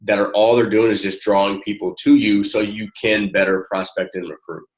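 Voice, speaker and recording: A man speaking informally and encouragingly as a coach on a one-on-one call, often halting as he finds his words. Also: on the low side, steady tone, poor audio. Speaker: network marketing coach.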